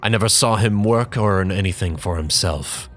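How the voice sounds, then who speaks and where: A man's voice: fairly low pitch, a bit bright, faint music behind, close to an everyday voice. A man voicing a bitter, brooding young man. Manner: measured, brooding, contemptuous, with dramatic pauses. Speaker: narrator in character. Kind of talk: dramatic audiobook reading.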